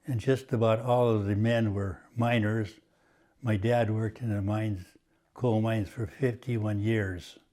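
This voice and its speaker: Slow, halting older man reminiscing. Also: lowish voice, steady tone, mellow. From Washington State, USA. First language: Croatian. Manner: slow and halting, many uh pauses. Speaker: oral history interviewee. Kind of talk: reminiscing, oral history.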